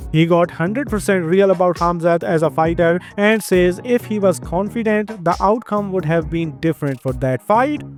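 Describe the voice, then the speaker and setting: A young man with an Indian accent hosting an upbeat, scripted fight news show. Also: sits high.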